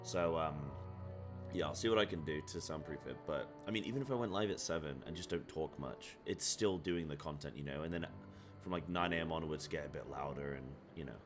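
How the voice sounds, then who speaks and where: A man streaming from his apartment, chatting casually, thinking aloud and reading chat aloud. He has a deep, carrying voice and talks loudly by habit. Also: a little fast, music in the background. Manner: loud by habit. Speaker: live streamer. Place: apartment room at home.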